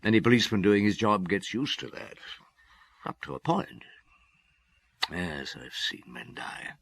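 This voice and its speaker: An actor playing a weary, remorseful older police detective in a radio drama, speaking in a measured, reflective way with weighty pauses. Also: male voice, on the low side, sing-song, fairly bright tone, no echo, full character voice. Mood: grave, haunted.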